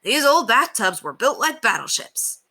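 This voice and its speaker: A woman's voice: a little high, very animated, moderate pace, bright, forceful tone, pitched above the performer's own voice, heavily acted. A woman voicing an agreeable, hardworking male neighbor in a children's storybook, easygoing and hearty. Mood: weary.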